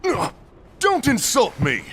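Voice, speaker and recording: An actor playing a hot-tempered, sarcastic young warrior in an animated fantasy film, confrontational and emphatic, over music. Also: male voice, very high voice, slow, slight background noise, exaggerated voice. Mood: indignant. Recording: dramatic music behind the voice.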